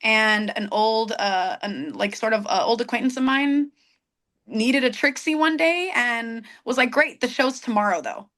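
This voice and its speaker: Storytelling woman in her thirties, higher voice. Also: a little slow. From United States. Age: 30s. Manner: warm storyteller, many restarts. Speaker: guest, burlesque performer. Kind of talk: casual interview chat.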